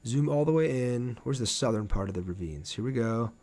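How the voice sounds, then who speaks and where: A man's voice: medium pitch, expressive, fairly quick, a little mellow. A man live streaming a game, thinking aloud in an informal way and chatting casually with viewers as he plays.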